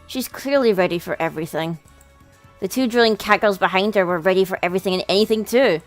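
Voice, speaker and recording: A woman's voice: mid-range voice, fast. A woman reading a visual novel aloud as a let's play host, with casual reactions of her own. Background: game music.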